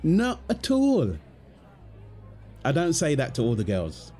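A man's voice: a little high, wildly swooping pitch, slow, warm tone. A young man acting out an ASMR boyfriend roleplay in a casual, conversational way. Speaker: audio roleplay performer. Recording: music behind the voice. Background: music.

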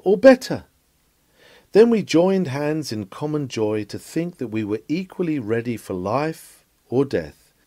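A man's voice: medium pitch, mellow, dry recording. A man reading a sermon aloud in an earnest, pleading, emphatic oratorical manner. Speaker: reader of a historic sermon.

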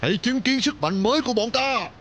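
Menacing cartoon villain: taunting, high, wildly animated. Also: male voice, fairly quick, a little hiss or hum. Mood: commanding.

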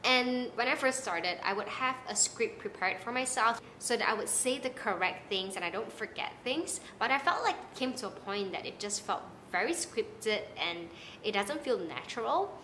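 A young woman from Malaysia with a Malaysian accent, giving casual, animated advice to the camera from her living room. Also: a little high, normal speed, slight background noise, low-quality recording. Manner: chatty and animated, gesturing with her hands. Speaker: content creator. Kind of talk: casual talking-head vlog advice. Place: small apartment living room, indoors.

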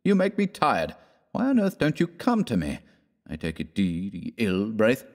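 Man playing a bossy, generous young friend, animated. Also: on the low side, normal speed. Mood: exasperated. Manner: insistent, affectionately exasperated. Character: generous, bossy young man of twenty-two, brotherly scold. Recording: long reverberant room echo.

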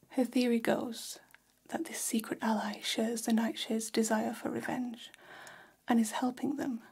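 A woman playing a gossipy, flattering vampire hair stylist in a whispered ASMR role-play, speaking in a soft, breathy, conspiratorial hush. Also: fairly high pitch, heavily acted. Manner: slow, hushed, conspiratorial, indulgent. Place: small decorated indoor room.

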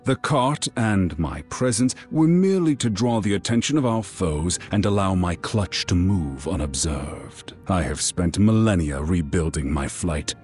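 Deep-voiced, stern dragon lord. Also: male voice, expressive, darkish tone, faint music behind. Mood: dignified. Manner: formal, commanding, measured. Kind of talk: fantasy game cutscene acting.

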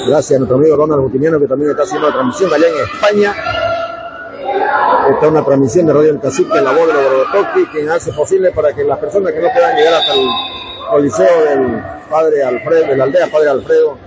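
Bolivian male futsal commentator over loud noise. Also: a little high, fast talker, a loud crowd. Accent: eastern Bolivian Spanish. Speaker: radio sports commentator. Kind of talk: live futsal match commentary. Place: indoor coliseum sports court, from the stands. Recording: very noisy live sports hall. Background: loud ambient noise of a futsal match.

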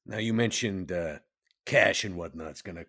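A man playing a gruff, folksy vampire henchman with a gravelly, husky voice, bargaining casually in a roleplay game. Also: low voice, normal speed, sharp tone, heavily acted. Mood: wheedling, casual. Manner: colloquial, hesitant bargaining. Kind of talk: tabletop roleplay acting.

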